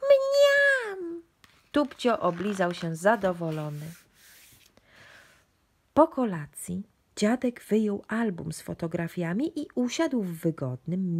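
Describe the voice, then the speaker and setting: A woman storyteller reading a children's bedtime book. Her delivery is expressive and swoops in pitch, in a breathy, husky voice with some creak at the edges. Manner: expressive, addressed to young children.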